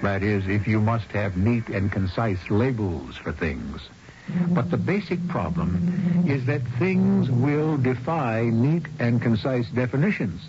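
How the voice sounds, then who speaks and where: A man hosting and narrating a 1970s radio drama, with measured, philosophical delivery and dramatic emphasis. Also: medium pitch, lively intonation, darkish tone, slight background noise. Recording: dull old radio broadcast with music.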